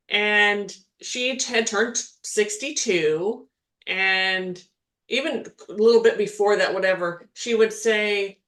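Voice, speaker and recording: A woman's voice: mid-range voice, a bit bright. A middle-aged woman co-hosting a podcast, talking in a chatty, rambling way with frequent restarts and laughs. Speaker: podcast co-host, spiritual healer. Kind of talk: casual chat.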